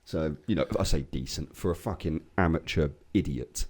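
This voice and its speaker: An English man co-hosting a casual podcast, swearing freely and telling lively stories while getting over a cold. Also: a bass voice, normal speed. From England. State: recovering from a cold. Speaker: podcast co-host. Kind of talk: casual chat.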